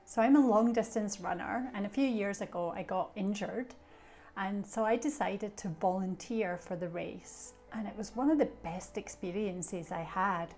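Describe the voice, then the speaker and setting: A woman's voice: mid-range voice, normal speed, darkish tone, faint music behind. A middle-aged Scottish woman, a coach, introducing herself and sharing coaching tips in a reflective way with fillers. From Scotland. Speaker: coach, association treasurer. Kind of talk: self-introduction and coaching talk.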